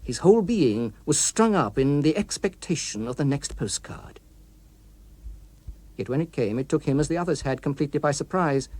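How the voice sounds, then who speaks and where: A man narrating an audiobook story in a precise, expressive, literary manner, recorded in a studio. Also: fairly high pitch, moderate pace, dry recording. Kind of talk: scripted dramatic story narration.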